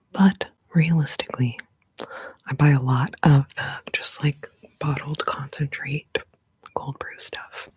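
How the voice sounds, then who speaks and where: A woman whispering softly in an ASMR video, playful and chatty. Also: low-pitched, no room sound. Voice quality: whispered, soft breathy delivery. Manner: playful, giggly, chatty asides between repeated trigger words. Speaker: ASMR host. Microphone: close sensitive mic.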